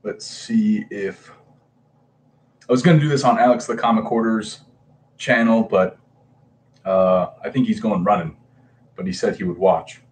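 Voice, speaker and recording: Chatty low-voiced man greeting stream viewers. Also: a little slow, long pauses, slight background noise. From Hawaii. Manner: chatty, reads and greets viewers, hesitant fillers. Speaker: comic book livestreamer. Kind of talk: casual livestream chat.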